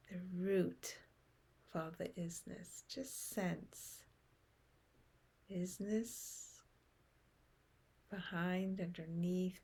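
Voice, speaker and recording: A woman leading a guided meditation in a gentle, soothing manner, with phrases that often soften into breath. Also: medium pitch, creaky, slightly rough.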